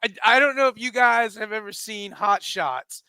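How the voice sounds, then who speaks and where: A man in his forties from South Carolina, hosting a comedy radio show and telling animated stories with acted-out quotes. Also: high-pitched, moderate pace, over a noisy crowd. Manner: animated storyteller, acts out quoted voices. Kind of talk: casual comedy radio banter.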